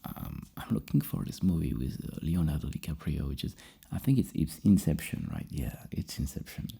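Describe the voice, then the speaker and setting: Very deep, gravelly soft-spoken ASMR man. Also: big pitch swings. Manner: slow, halting, rambling, dropping into whispers. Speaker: ASMR roleplayer playing a psychologist giving a personality questionnaire.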